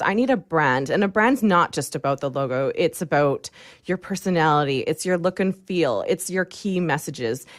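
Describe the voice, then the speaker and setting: A woman's voice: deep voice, no echo, clean studio sound. A woman speaking as an interview guest, explaining things in a chatty, conversational way. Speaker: guest, branding agency owner.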